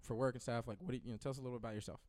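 A man from Austin, Texas, co-hosting a podcast in casual, excitable chat with free swearing. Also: medium pitch, racing. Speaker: podcast co-host.

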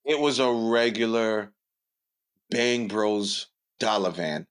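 A man's voice: on the low side, takes it slowly, smooth. A young man, telling stories bluntly and building up jokes on a podcast. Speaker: podcast co-host. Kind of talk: casual storytelling chat.

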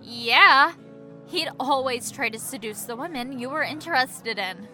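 A worried, plain-spoken woman friend in an audio drama. Her voice is high and very bright, with music clearly behind it. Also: lively intonation, unhurried, exaggerated voice. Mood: frank, knowing. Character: concerned, frank woman friend. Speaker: voice actor.

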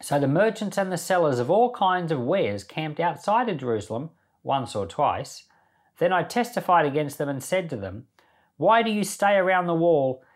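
Man reading scripture with very lively intonation. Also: fairly high pitch, normal speed. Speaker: Bible podcast host and reader. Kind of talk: scripture reading followed by informal commentary.

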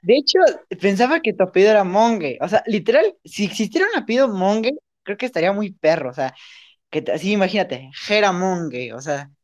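A woman's voice: mid-range voice, animated, a little fast. A woman with a Mexican accent doing a casual, improvised interview in slangy, informal language.

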